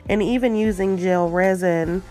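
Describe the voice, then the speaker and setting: A woman's voice: fairly low pitch, measured pace, low-quality recording. A woman with a stuffy voice from a cold, chatting casually with lots of ums as she unboxes nail products. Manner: chatty, frequent ums, relaxed. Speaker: nail art vlogger.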